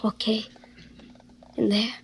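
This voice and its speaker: Earnest down-on-her-luck young woman, unhurried, fairly high voice, 1940s radio acting, grateful and unsure. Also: level tone, vocal fry, a little hiss or hum. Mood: meek, tearful.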